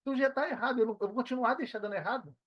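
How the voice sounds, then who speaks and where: A man's voice: very high-pitched, rapid-fire, dry recording, muffled recording. Brazilian male podcast participant. Casual motivational chat, emphatic, repetitive slangy phrasing, dry recording. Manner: emphatic, slangy, repeats himself.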